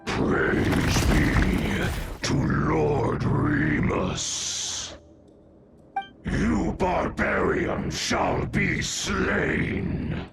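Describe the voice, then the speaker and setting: Gravelly, droning golem guardian. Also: male voice, a little high, wildly swooping pitch, drawn-out words, full character voice. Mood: solemn menace. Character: solemn, menacing ancient golem guardian, zealously loyal. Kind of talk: video game voice acting. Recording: loud music over voice.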